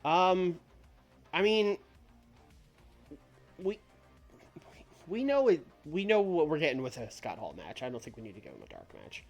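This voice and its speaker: A man casually thinking out loud while streaming a simulation game, rambling, restarting his sentences and laughing at himself. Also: fairly high pitch, sing-song, a little slow, pauses often, highs cut off. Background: faint background music.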